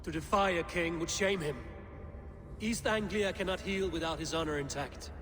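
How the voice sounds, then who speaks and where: An actress playing a fierce, resolute female Viking warrior in a video game, stern and defiant, with loud music over the voice. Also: lowish voice, moderate pace, natural voice. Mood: firm, grave. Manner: defiant, declamatory. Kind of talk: dramatic video game acting.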